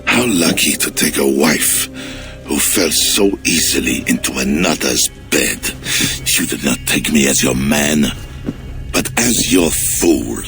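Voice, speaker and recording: An actor playing a bitter, jealous Frankish king in a video game cutscene, speaking slowly and turning mocking and accusing. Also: very deep voice, creaky, over music, full character voice.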